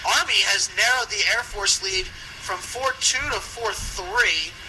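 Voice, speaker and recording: A man doing live play-by-play commentary of an ice hockey game for radio, following the action briskly. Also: sits high. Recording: noisy live radio sports broadcast.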